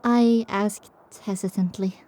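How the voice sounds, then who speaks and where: A woman's voice: medium pitch, steady tone, takes it slowly, warm tone, natural voice. A woman playing a reflective pony survivor, narrating her own story in a dramatic audiobook style. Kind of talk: dramatic first-person scripted narration.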